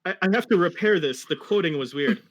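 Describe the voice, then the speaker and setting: Casting: male amateur narrator, high voice, very lively intonation, amused mock-dramatic storytelling. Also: brisk, slight background noise. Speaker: reader of a fan story.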